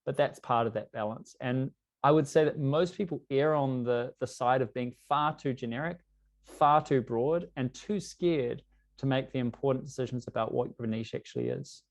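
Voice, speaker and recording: A man with a New Zealand English accent gives a workshop talk on growing a tutoring business. His pitch sits in the middle for a man. He talks conversationally and leans on fillers as he explains. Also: normal speed. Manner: conversational, uses many fillers like "you know". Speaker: workshop presenter. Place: lives in London.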